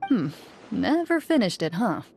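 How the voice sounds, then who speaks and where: An actress voicing a composed, stern yet kind female hunter in a video game, speaking in a measured, cool, gently firm way. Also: mid-range voice, big pitch swings, gravelly, slight background noise. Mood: dry, encouraging. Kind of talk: English game voice acting. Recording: soft music behind the voice.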